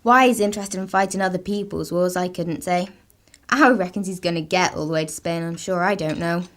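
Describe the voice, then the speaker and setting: A woman's voice: on the low side, a little fast, fairly bright tone, close to an everyday voice. A woman playing a plain-spoken, wry 1930s village housemaid in a radio play, with a rustic Gloucestershire accent. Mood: wry, matter-of-fact. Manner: rustic, matter-of-fact.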